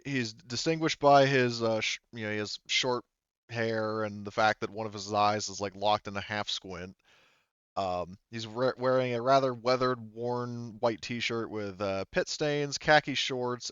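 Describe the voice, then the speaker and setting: A man working as game master, narrating a tabletop roleplaying game off the cuff in a halting, hesitant way. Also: on the low side, fairly bright tone, smooth, dry recording, dull audio. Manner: halting, hesitant, frequently trailing off.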